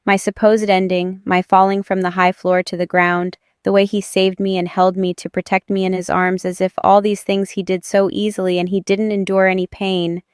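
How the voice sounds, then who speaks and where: A woman's voice: mid-range voice, little pitch movement, normal speed, hardly any pauses, smooth, dry recording, studio quality. A woman giving dramatic first-person narration of a romance audiobook.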